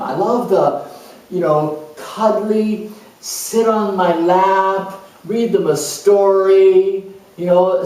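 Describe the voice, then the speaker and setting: A man acting out a gushing, dreamy, soft-hearted volunteer who rambles on with lots of "you know", recorded with the mic far off in an echoey room. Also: high voice.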